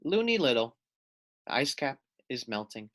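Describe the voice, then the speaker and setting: Expressive man reading to children, lively pitch. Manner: expressive, deliberate storytelling. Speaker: storybook reader, teacher-like. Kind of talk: read-aloud for children.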